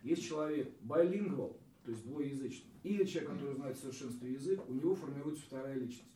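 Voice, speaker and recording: Man, insistent debater, quick delivery, echoey room audio. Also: medium pitch, warm tone, distant mic, a little hiss or hum. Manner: argumentative, insistent. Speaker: emigrant discussion guest. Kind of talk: casual group discussion. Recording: roomy sound from off mic.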